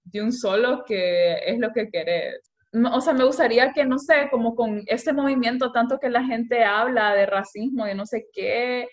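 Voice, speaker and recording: A young woman with a Honduran Spanish accent, talking in a chatty, animated way and often checking that she is understood. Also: on the high side, normal speed, muffled recording. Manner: chatty, animated, frequent "me entendés" tags. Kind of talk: casual conversation on social issues.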